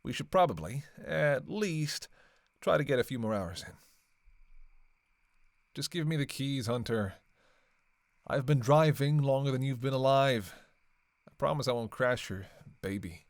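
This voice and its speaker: A sardonic male vampire, played by a man in an audio roleplay, recorded close and dry. He talks in a casual, flirty, sarcastic way, needling his listener with sweary banter in a mid-pitched voice. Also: expressive, unhurried, long pauses, no echo. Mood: coaxing. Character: sardonic, flirtatious, long-lived male vampire killer, playfully teasing. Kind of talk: casual roleplay audio acting, one side of a dialogue.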